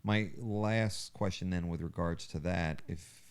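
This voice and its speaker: A man's voice: low voice, unhurried, clean tone. A man co-hosting a podcast, chatting casually and putting questions to the others. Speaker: podcast co-host asking questions. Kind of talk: casual chat. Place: living room with soundproofing.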